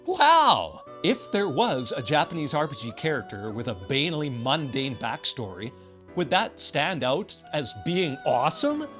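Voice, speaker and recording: A man's voice: a little high, very animated, a little slow, bright, forceful tone, soft background music. A man delivering scripted video game commentary in a sardonic, wry way with exaggerated emphasis.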